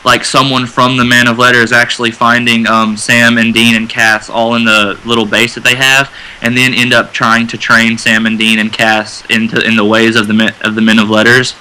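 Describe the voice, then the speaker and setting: A man's voice: mid-range voice, completely flat delivery, moderate pace, bright, forceful tone, smooth, rough recording. A man talking casually in a fan discussion, feeling his way and circling back over his phrases. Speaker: radio show panelist.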